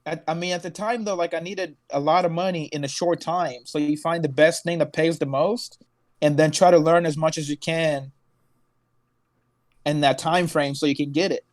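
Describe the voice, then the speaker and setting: Young male podcast co-host from Tanzania, slightly high voice, laid-back and slangy, tells stories and laughs a lot. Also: steady tone, normal speed, no echo. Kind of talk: casual chat.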